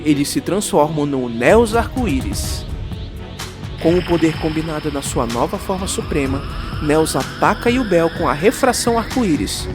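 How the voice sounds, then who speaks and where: A man with a Brazilian accent giving a theatrical, epic narration of a scripted audio drama. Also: on the high side.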